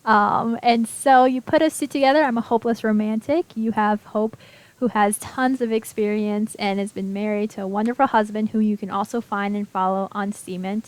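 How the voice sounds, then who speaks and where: A woman's voice: mid-range voice, fairly even intonation, normal speed, poor audio. A woman hosting an internet radio show, chatty and bubbly, laughing often as she talks. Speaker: host. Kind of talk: casual internet radio chat.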